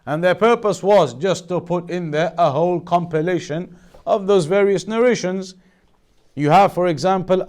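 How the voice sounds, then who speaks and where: A man giving an Islamic studies lesson as a teacher, explaining in a measured way and rephrasing key points. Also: high-pitched, darkish tone. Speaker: religious teacher.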